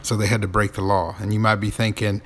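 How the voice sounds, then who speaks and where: A man's voice: lowish voice, flat delivery, fast, a little hiss or hum. A man narrating accusatory commentary, emphatic, with repeated phrases and rhetorical questions.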